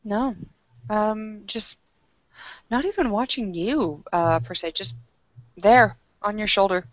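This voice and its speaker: A woman improvising in character in a tabletop roleplaying session, with hesitant, halting phrasing. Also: medium pitch, no echo. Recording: dry, dull lo-fi audio.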